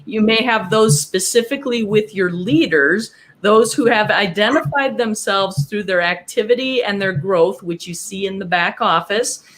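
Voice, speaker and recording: A woman co-hosting an instructional business podcast, explaining things step by step in a measured way with small asides. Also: medium pitch, rough recording. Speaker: podcast co-host.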